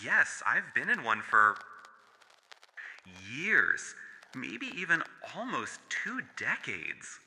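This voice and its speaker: A man's voice: medium pitch, big pitch swings, takes it slowly, bright, forceful tone, slight background noise, heavily acted. A man voicing a traumatised furry cult survivor in an audio horror drama, speaking in a solemn, confessional way. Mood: guarded, reflective. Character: traumatised male furry cult survivor with nature powers, haunted. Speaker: voice actor. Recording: long hall-like reverb.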